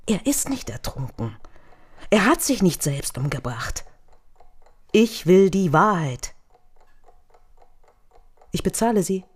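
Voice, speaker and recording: An actress playing a determined, grieving lover in a radio crime drama, speaking in short, insistent phrases. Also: female voice, on the low side, sing-song, takes it slowly, pauses often, darkish tone, slightly rough, exaggerated voice. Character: determined grieving mistress seeking truth about dead lover.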